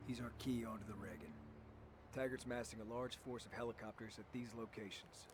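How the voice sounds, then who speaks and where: An actor playing a calm, calculating military insider in a video game, giving terse, commanding instructions. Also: male voice, lowish voice, brisk, background noise, natural voice. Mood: urgent briefing. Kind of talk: video game cutscene acting. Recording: loud game music behind voice. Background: loud music.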